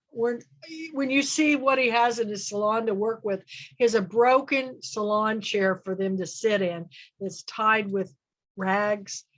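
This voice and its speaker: A woman hosting a film club chat, talking loudly and rambling, trailing off with fillers. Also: a little high. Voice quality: loud voice. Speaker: book and film club host. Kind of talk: casual group chat.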